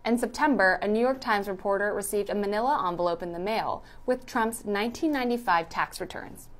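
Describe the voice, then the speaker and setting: A woman's voice: mid-range voice, fairly quick. A woman reading scripted narration for a news explainer.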